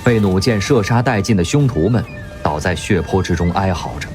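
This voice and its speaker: A man narrating a radio drama in a vivid, expressive storytelling manner. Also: low-pitched, fairly quick. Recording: music underneath.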